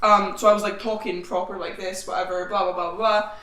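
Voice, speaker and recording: A woman's voice: medium pitch, little pitch movement, fast talker, pushed and bright, far from the mic. A young woman from Scotland co-hosting a podcast, chatting bluntly and swearing freely. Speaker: podcast co-host. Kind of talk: casual chat. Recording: echoey room, off-mic, faint background noise.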